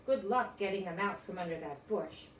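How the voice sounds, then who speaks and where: A woman's voice: medium pitch, moderate pace, close to an everyday voice. A woman reading a storybook, playing a grumbling, dismissive old man who complains in an emphatic, folksy way. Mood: grudging, warning. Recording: roomy, distant mic, faint noise.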